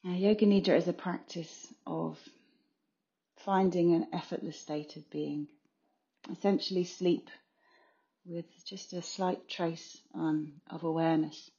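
A woman's voice: fairly low pitch, fairly even intonation, unhurried, warm tone. A woman soothingly guiding a yoga nidra meditation in short phrases with gaps between them, on a slightly muffled session recording. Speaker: meditation guide, consultant.

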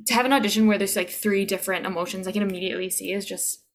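A woman's voice: medium pitch, steady tone, racing. A woman chatting casually as an interview guest, hesitant but effusive. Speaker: voice actor being interviewed.

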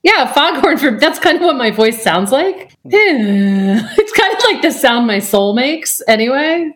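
A woman's voice: high-pitched, big pitch swings, measured pace. A woman who writes for TV, chatting as a podcast guest. She talks in a dry, self-mocking and chatty way. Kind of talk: casual chat.

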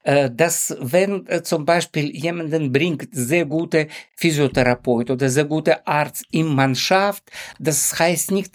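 A mature man with a Ukrainian accent speaks as a non-native interview guest. He talks slowly and haltingly, searching for words and keeping his phrasing simple. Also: fairly high pitch. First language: not native in German. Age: middle-aged or older. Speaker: sports doctor, interview guest. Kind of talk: conversational interview.

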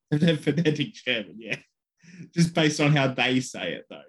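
Man, co-host, husky, casual chat, short replies. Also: medium pitch, animated, measured pace. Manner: laughs often, brief replies. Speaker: podcast co-host.

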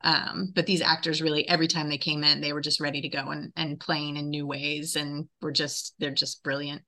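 A woman's voice: low voice, little pitch movement, brisk, pushed and bright. A woman, a theater director, answering questions as a guest in a conversational interview.

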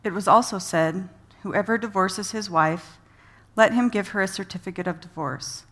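A woman's voice: medium pitch, normal speed, a bit bright. Woman reading scripture evenly. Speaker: volunteer scripture reader.

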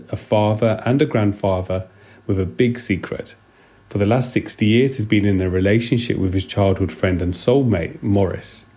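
A man giving a prepared book review to listeners as a podcast guest, in a measured, explanatory way. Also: lowish voice, warm tone. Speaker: GP and training programme director, podcast guest.

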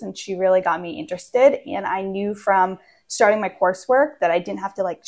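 A woman's voice: medium pitch, creaky. A young woman from Maine, a real estate agent answering interview questions in a casual, chatty way with lots of fillers.

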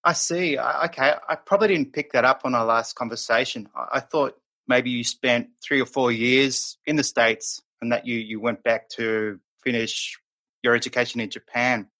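A man's voice: mid-range voice, pushed and bright. Man hosting a podcast interview. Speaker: podcast host.

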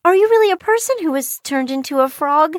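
A woman voicing a curious, excitable young girl who exclaims and asks eager questions in a children's story. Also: high-pitched, brisk, no echo, studio quality, pitched above the performer's own voice. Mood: amazed, curious.

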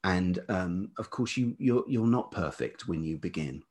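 A man's voice: sits low, lively intonation, measured pace, a little mellow, breathy edge. A middle-aged English man with a velvety voice, talking reflectively and hesitantly as an interview guest, searching for his words. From England. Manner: hesitant, thoughtful, repeats words while searching. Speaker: podcast guest, writer and musician.

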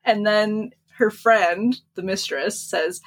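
Female podcast co-host, slightly raspy mid-pitched voice, informal and joking in casual book conversation. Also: slow pace. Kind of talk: casual book discussion chat.

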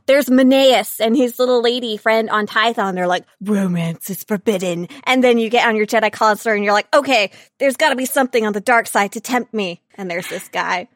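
High-voiced woman podcast co-host, silly and teasing. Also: moderate pace, few pauses, no echo, clean studio sound. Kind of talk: casual chat about game characters.

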